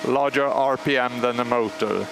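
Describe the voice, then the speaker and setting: A man with a Swedish accent calmly explaining, step by step, how an old radio transmitter is started, pausing often with fillers. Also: medium pitch, creaky, noisy background. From Sweden. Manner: measured, explanatory, frequent pauses and fillers. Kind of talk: live technical commentary. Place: large machine hall at a radio station. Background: humming machinery and alarm bells.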